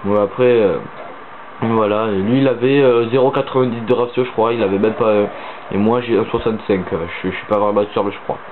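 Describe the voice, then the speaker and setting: A man's voice: lowish voice, steady tone, fairly quick. A teenage boy with a cold and a stuffy nose, rambling casually with many hesitations as a gaming channel host. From southern France. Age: teens. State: stuffy-nosed from a cold, sniffling. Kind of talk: casual gaming commentary voice-over. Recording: tinny phone-like mic with faint hiss.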